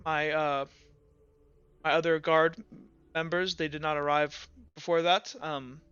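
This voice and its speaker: Guard commander in a fantasy game, played by a man: shaken, reluctant witness, slow and stammering, bright clear tone. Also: fairly high pitch, little pitch movement, no room sound, dull audio. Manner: halting, hesitant, stammering.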